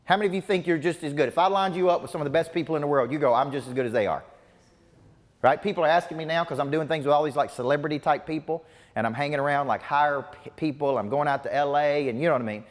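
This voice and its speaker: A man's voice: on the high side, fast talker, long reverb tail. A man in his forties giving a motivational business coaching seminar to a live audience, emphatic and punchy with lots of repetition. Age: 40s. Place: large seminar room before a live audience.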